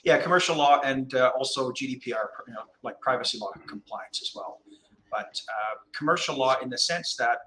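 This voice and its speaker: Canadian man, middle-aged, interview guest; bright forceful tone, thoughtful halting delivery, slight background hiss. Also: medium pitch, lively intonation, low-quality recording. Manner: measured, hesitant, many restarts and fillers. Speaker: commercial lawyer, guest. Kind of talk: relaxed interview conversation.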